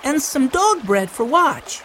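Woman as chirpy small boy, very high pitch, excitable, swooping, storybook character. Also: slow, a little hiss or hum, full character voice. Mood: excited. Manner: excited exclamations. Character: eager, hungry, chirpy little boy, youngest child.

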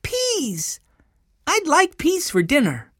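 A woman voicing an eager, hungry, excitable little boy from a children's storybook. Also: sits high, a little slow, no echo, studio quality, voice pushed up, full character voice. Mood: excited. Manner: excited exclamations.

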